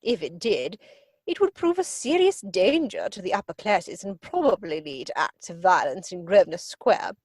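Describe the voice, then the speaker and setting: A woman's voice: fairly low pitch, sing-song, moderate pace, darkish tone, dry recording. A woman playing an imperious, snobbish older upper-class lady in a theatrical comedy reading, pompous and disapproving. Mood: haughty, interrogating. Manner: pompous, disapproving, crisply emphatic. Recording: dry home video-call recording.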